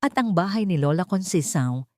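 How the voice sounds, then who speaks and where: Low-pitched woman narrating a drama. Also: expressive, fairly quick, a little mellow, no room sound, studio quality. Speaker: storyteller, narrator. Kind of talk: scripted dramatic audiobook narration.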